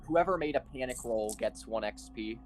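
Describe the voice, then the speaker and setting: A man's voice: mid-range voice, normal speed. A man chatting casually as a player in a tabletop roleplaying game. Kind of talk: casual chat.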